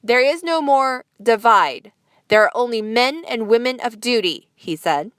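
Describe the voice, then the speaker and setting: A woman with a fairly high voice reads scripted news as a presenter. The recording is close and dry, with very little room sound. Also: animated, measured pace, fairly bright tone, clean tone, dry recording.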